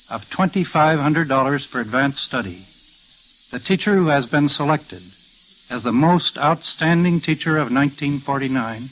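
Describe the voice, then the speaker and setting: An American man, a professor, gives a measured, formal prepared address on a hissy 1940s radio broadcast. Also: medium pitch, a bit bright, poor audio. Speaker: professor, scholarship committee chairman.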